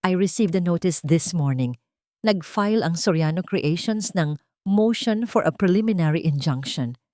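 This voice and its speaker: A woman voicing a calm, grave elderly male lawyer in an audio drama, measured, serious and straight-talking. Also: fairly low pitch, dry recording, studio quality, lightly acted. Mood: grave, blunt.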